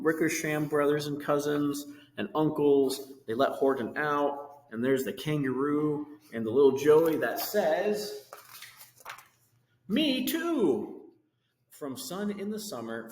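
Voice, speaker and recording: A man's voice: mid-range voice, animated, unhurried, few pauses, darkish tone. A man reading a children's storybook aloud, with casual asides about the pictures. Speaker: reader of a children's book. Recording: echoey room with long reverb.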